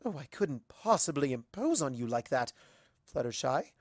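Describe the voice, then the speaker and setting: A man voicing a fussy, excitable female cartoon pony with a dramatic, bossy way of talking. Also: mid-range voice, normal speed, no room sound, clean studio sound. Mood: polite, gracious. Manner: dramatic, imperious. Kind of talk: cartoon fan fiction character reading.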